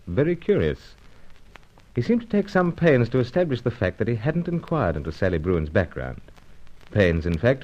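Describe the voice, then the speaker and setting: Deep-voiced, lively British gentleman spy. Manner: clipped old-fashioned gentlemanly banter. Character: suave pipe-smoking British secret agent narrating his own adventure. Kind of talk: old radio serial acting with first-person narration. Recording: muffled noisy old radio recording.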